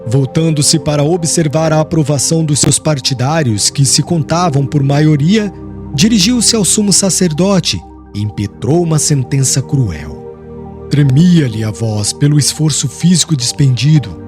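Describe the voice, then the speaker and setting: Audiobook narrator, male, Brazilian accent: solemn and theatrical, higher-pitched with lively swings, clear music underneath. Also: measured pace. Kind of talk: dramatic scripted literary narration. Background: background music.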